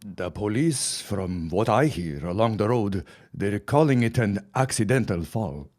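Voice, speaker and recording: An actor playing an obedient henchman in a period radio drama, speaking formally and with measured subservience. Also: fairly low pitch, animated, darkish tone. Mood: obedient, cautious. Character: deferential, obedient henchman to a villainous reverend.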